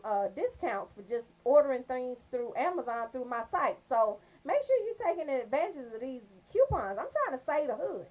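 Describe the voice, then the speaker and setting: Woman, American accent, high-pitched voice, radio host, casual banter, slangy, joking. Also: poor audio. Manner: slangy, joking, bantering, addresses listeners directly. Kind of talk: casual chatty radio show promos.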